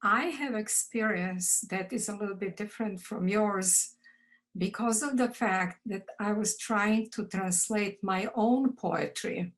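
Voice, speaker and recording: Slow careful older woman. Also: mid-range voice, low-quality recording. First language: Croatian. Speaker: poet guest. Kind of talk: video call conversation. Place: home office.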